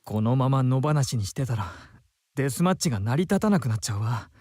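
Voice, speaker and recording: A man's voice: mid-range voice, lively intonation, racing, darkish tone, no room sound, clean studio sound, lightly acted. A man playing a flamboyant, gossipy bar character in a Japanese visual novel, with music behind. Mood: gossipy, concerned.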